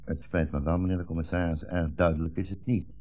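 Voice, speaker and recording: A low, deep old man's voice comes through a thin vintage radio recording with faint music. It is a blind rabbi played by a male actor, dignified and measured. He is polite yet gently defiant, with quiet irony, in 1960s radio-play style. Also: little pitch movement, narrow, tinny audio, close to an everyday voice. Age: older. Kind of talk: 1960s radio drama acting.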